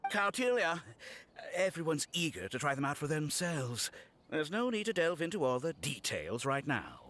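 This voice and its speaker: An actor playing an earnest, courteous gentleman patron in a video game, speaking formally and sincerely. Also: on the high side, big pitch swings, moderate pace. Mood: gently interrupting. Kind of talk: video game character acting, formal speech. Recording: music playing behind.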